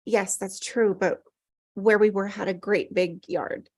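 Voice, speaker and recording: A woman playing a grieving older mother in a drama table read, earnest and compassionate, speaking haltingly as she searches for words. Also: medium pitch, steady tone, darkish tone, no room sound. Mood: wistful.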